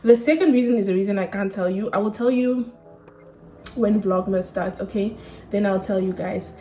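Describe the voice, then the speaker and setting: A woman's voice: mid-range voice, normal speed, warm tone, a little hiss or hum. A woman in her twenties from Namibia, chatting candidly and casually to camera in a sit-down vlog, full of slang. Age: 20s. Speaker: vlogger.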